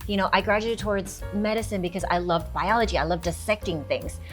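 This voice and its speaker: A woman from Houston, a travel show host and guest on a talk show, who talks in an animated way and laughs often. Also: fast talker, soft background music. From Houston, Texas. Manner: animated, laughs often, jumps into high mock voices when quoting herself.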